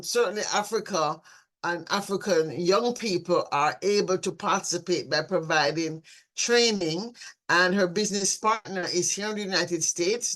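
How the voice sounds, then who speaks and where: A woman's voice: on the low side, a bit bright. A woman moderating a panel discussion, introducing a guest and asking questions in a conversational way.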